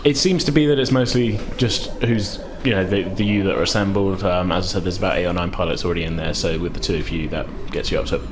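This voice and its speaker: A man's voice: sits low, fast talker, hardly any pauses, background noise. A man narrating a tabletop role-playing game as game master, improvising his descriptions.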